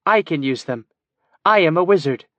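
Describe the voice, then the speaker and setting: A man's voice: on the high side, expressive, moderate pace, dry recording, muffled recording, voice pushed up, lightly acted. A man voicing a proud, stern female elf wizard who speaks in a commanding, cryptic way.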